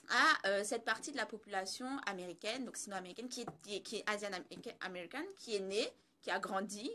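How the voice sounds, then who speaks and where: A woman's voice: medium pitch, sharp tone, slight background noise. A woman commentating on social issues in a conversational, explanatory way, repeating phrases for emphasis.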